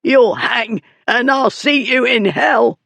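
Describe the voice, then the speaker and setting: A man voicing a brutish, foul-mouthed bully with a hoarse, choking, strained voice in an audiobook story. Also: extremely high pitch, takes it slowly, a bit bright, dry recording, voice pushed up, full character voice. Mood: choked defiance.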